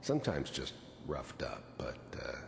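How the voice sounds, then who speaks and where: An actor playing a weary, guilt-ridden hardened killer in a video game, gravelly, brooding and matter-of-fact as he reminisces. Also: rumbling low, sing-song, unhurried, full character voice. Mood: cold, matter-of-fact. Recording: echoey, noisy game audio.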